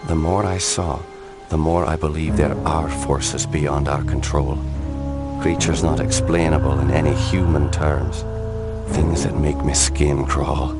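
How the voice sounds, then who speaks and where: A very deep Irish occult investigator. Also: male voice. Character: world-weary Irish occult investigator, wry war veteran. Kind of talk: video game cutscene narration and dialogue. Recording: loud background music. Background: loud game soundtrack music.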